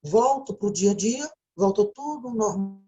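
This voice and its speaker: A woman's voice: mid-range voice, expressive, measured pace, dark tone. A woman with a Brazilian Portuguese accent gives a recorded video lecture, explaining step by step and often checking in with a tag question. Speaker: teacher.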